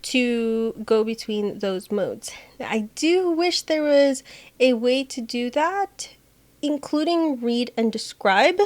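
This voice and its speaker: A woman's voice: a little high, lively intonation, slow. A woman hosting a casual tech walkthrough, talking in a conversational, opinionated way. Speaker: host of accessibility tech tutorial videos.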